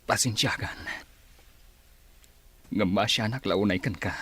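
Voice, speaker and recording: Man acting steady village father, low husky voice, measured, reassuring, conciliatory, radio drama. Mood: soothing.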